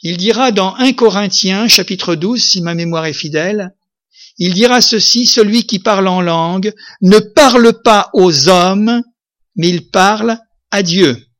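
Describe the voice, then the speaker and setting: A man preaching a sermon with emphasis, lifting his voice to loud peaks and then dropping it low. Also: measured pace. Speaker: pastor.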